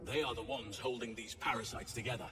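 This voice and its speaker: A man plays a solemn, protective druid leader from a fantasy video game. He speaks formally and with measured resolve. The voice sits back from the mic in a reverberant hall, with loud music behind. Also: medium pitch, steady tone, natural voice. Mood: commanding, solemn. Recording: echoey hall, distant, under loud music.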